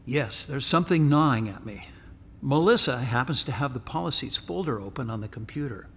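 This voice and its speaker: A man's voice: medium pitch, lively intonation, fairly quick, fairly bright tone, slightly rough, long reverb tail. An actor playing a playful, flirtatious male ghost in a contemporary radio drama. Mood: confiding, flirtatious. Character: male ghost, teasing companion to a woman investigator.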